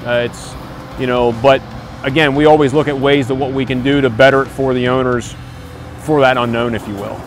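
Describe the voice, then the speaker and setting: A man's voice: mid-range voice, steady tone, fairly quick, a little hiss or hum. An American man in his forties, a construction company owner, speaking plainly and casually in an interview with frequent "you know" asides. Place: outdoors on a construction site. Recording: music bed under the voice. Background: background music.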